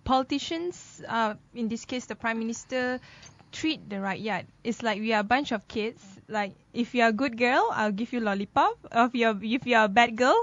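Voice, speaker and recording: Woman co-host, bright higher register, talky and giggly, dull lo-fi sound. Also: moderate pace. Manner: chatty, laughs often, many fillers. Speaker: news commentary co-host. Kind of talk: casual news commentary chat. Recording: dull lo-fi podcast audio.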